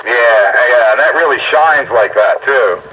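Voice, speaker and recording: A man's voice: mid-range voice, a little slow. A man in a casual radio chat as an amateur operator, often repeating his words for emphasis. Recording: dull lo-fi radio signal with static.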